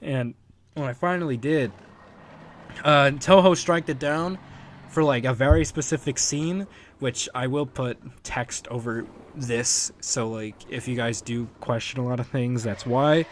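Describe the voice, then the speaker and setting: A man giving a casual, unscripted solo commentary as a creator, in a rambling, halting way and often chuckling. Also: medium pitch, slight background noise. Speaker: fan dub creator, commentator.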